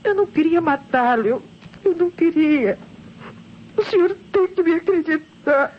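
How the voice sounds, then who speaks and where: An actress with a Brazilian accent playing a distraught wife confessing to a killing, halting and pleading in old radio drama style. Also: female voice, very high voice. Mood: desperate pleading. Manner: halting, pleading, repeats words when faltering. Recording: dull, noisy old radio recording.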